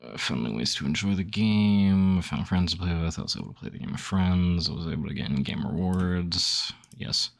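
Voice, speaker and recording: Young male streamer, very deep, slightly phlegmy, loose filler-heavy chatter. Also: normal speed, vocal fry, few pauses. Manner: rambling, heavy on "like", reads options aloud then reacts. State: phlegmy throat. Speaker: gaming streamer. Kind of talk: casual commentary while filling out a survey.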